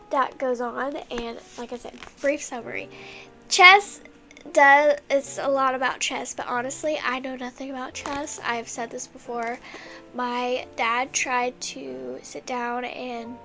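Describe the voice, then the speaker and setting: A young woman with a noticeably high voice talks in a loose, chatty ramble, often restarting her sentences. Her head cold makes her sound stuffy and congested, and soft music plays faintly behind her. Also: fairly even intonation, slow. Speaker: book vlogger. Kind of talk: casual chat.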